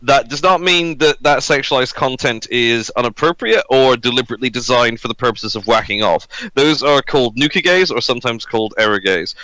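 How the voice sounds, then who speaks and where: A man's voice: mid-range voice, expressive, a little fast, hardly any pauses, pushed and bright, dry recording, rough recording. A talkative, emphatic man who swears casually while explaining things in a panel chat. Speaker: podcast panelist explaining the subject.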